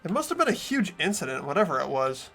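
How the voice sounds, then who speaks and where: Man as an earnest teenage boy, bright and lively. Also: a little high, fast, gravelly, soft background music, heavily acted. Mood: earnest, troubled. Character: earnest, soft-hearted teenage boy, the narrating hero. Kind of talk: amateur fan voice acting, narration and dialogue.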